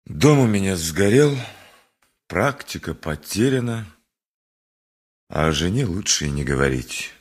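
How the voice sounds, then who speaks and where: An actor playing a bitter, ruined dentist with a husky voice in a radio drama. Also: male voice, sits low, big pitch swings, measured pace, long pauses, exaggerated voice. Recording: music faintly behind.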